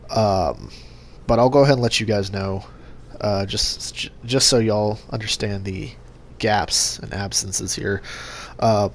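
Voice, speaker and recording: A young man hosting a gaming channel, talking casually to his subscribers in a monotone with lots of fillers and restarts. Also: fairly low pitch, slight background noise. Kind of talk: casual vlog update to subscribers.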